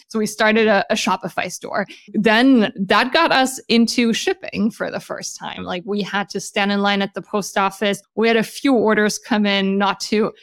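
A woman from Germany in her thirties, talking through her founder story in a loose, conversational way full of fillers and restarts. Her pitch sits in the middle for a woman, and the recording is dry and close, with no room sound. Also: no room sound. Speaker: startup founder, guest. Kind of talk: casual podcast interview.

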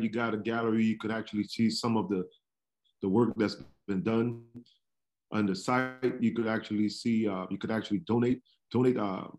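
A man from Trinidad and Tobago, a barber, answering interview questions in a halting way with many fillers. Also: lowish voice, a little mellow. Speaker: barber, podcast guest.

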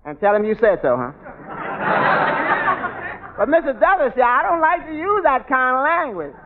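A stammering wisecracking waiter. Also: male voice, very high-pitched, very animated, normal speed, over a noisy crowd. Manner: stammers, repeating words; folksy ungrammatical speech. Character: wisecracking male tavern waiter, dry-humored. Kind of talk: 1940s radio sitcom acting. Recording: tinny old radio broadcast with hiss.

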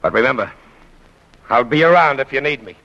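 An actor playing a blunt, hard-nosed American government official in an old radio drama, with a raspy, forceful voice. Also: male voice, medium pitch, animated, brisk, lo-fi sound, natural voice. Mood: pushy, brusque.

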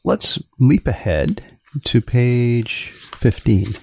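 A man hosting a podcast, asking questions in a dry, measured way. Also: mid-range voice, very animated, vocal fry, darkish tone, slightly rough. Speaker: podcast host. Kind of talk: podcast interview.

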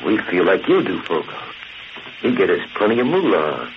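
A muffled old radio recording with faint hiss carries a crude male henchman. He is cocky and greedy, talking low in tough-guy slang. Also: big pitch swings, moderate pace. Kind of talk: 1940s radio acting.

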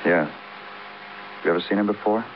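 An actor playing a world-weary American police detective, terse and brooding, in old-time radio crime drama style. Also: male voice, fairly low pitch, normal speed, noisy background, close to an everyday voice. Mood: somber, probing. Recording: muffled vintage radio broadcast, music behind.

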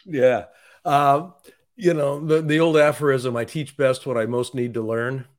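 A man hosting a conversational podcast interview, reflective and halting as he works his way toward questions. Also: mid-range voice, fairly even intonation. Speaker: podcast host, author.

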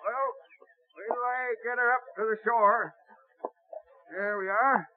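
A man's voice: very high voice, steady tone, slow pace. An actor playing a humble, obliging colonial ferryman in an old-time radio drama. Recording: muffled, very noisy old radio broadcast.

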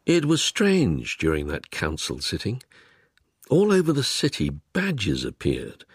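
A man's voice: medium pitch, huge pitch swings, unhurried, husky, dry recording, clean studio sound, full character voice. An actor playing a man of the court, recounting events as a dramatic narration in a radio play. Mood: uneasy, recounting. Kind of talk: dramatic first-person narration.

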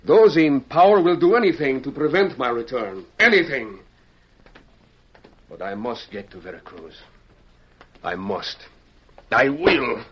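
Impassioned Mexican revolutionary, muffled vintage radio. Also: male voice, medium pitch, big pitch swings, measured pace, pauses often, breathy edge. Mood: determined. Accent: Mexican Spanish. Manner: earnest, formal, theatrical phrasing. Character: fugitive Mexican revolutionary leader, impassioned, proud, courteous. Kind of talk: old-time radio drama acting.

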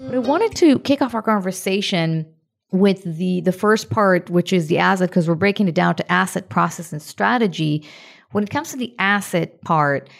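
A woman's voice: on the low side, expressive, normal speed, gravelly, faint music behind. A woman hosting a podcast, introducing guests and asking questions in a friendly, upbeat way. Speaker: podcast host.

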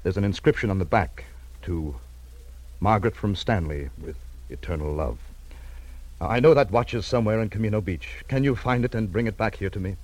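Man acting worried middle aged husband in old radio drama, deep voice, halting and earnest. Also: darkish tone, lightly acted. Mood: earnest, sentimental.

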